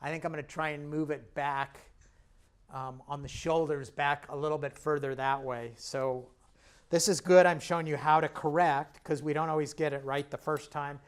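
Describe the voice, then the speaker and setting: Guy demoing a painting, jokey and chatty, talks while he works, bit hoarse, voice a bit high. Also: fairly even intonation, normal speed. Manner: chatty, self-deprecating and jokey while working; talks while painting and stepping back from the canvas. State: slightly hoarse, clearing his throat. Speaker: painting instructor. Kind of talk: casual painting demonstration.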